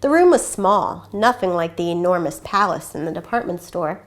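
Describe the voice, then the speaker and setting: Teacher lady reading a kids storybook, super lively intonation, explains and asks stuff. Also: on the low side, normal speed. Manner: expressive, instructive. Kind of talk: children's storybook read-aloud with questions.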